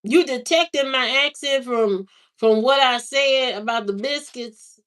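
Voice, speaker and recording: A woman hosting a livestream, chatting casually with viewers in a slow, drawling, musing way. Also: high voice, a bit bright. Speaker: livestream host. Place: lives in Indiana, not from there.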